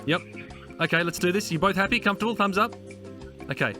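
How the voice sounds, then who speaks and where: A man's voice: high-pitched, fast, bright, forceful tone, soft background music. A man with an Australian accent hosting a playful chat with guests in a jokey, chirpy manner. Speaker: show host.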